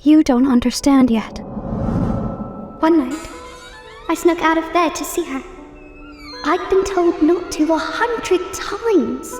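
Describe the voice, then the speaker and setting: A woman's voice: high-pitched, slow, long pauses, music in the background. A woman voicing a sinister, obsessive robot girl who yearns for a father's love, delivered as a dramatic comic dub monologue. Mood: menacing obsession.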